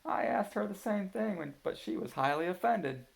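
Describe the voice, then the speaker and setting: A man's voice: sits high, lively intonation, moderate pace, creaky, voice pushed up, exaggerated voice, poor audio. A man voicing a young father, amused and teasing his wife.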